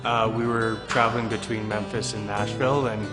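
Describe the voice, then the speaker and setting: A man visiting a museum, speaking haltingly with casual grammar about how he found it. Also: fairly low pitch, level tone. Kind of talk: casual interview. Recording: reverberant hall with loud music behind.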